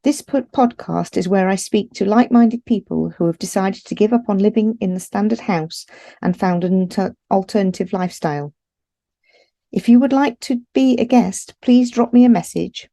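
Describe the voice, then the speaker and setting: A woman's voice: mid-range voice, moderate pace, vocal fry, mellow. A woman hosting a podcast, reading a short intro and then encouraging her guest. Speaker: podcast host. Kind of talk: scripted podcast intro then interview chat.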